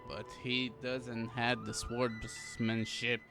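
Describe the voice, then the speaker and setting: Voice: medium pitch, flat delivery, takes it slowly, fairly bright tone. A game player giving casual commentary while playing through a story quest. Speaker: player doing a game playthrough. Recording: game music behind.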